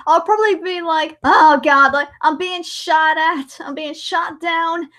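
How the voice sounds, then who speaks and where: A woman's voice: extremely high pitch, measured pace, a bit bright. A woman chatting casually on a livestream in a playful, joking way. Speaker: livestream host editing a dramatic reading. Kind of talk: casual chat.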